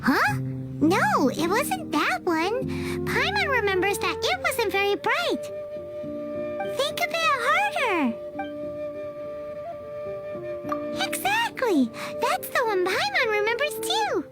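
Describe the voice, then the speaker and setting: A woman voicing a tiny, childlike floating fairy companion in a video game, chirpy, bossy and eager, with a squeaky voice. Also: sing-song, takes it slowly, exaggerated voice. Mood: insistent. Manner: speaks of self in third person, eager. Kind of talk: video game character acting. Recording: loud music behind the voice. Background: game music.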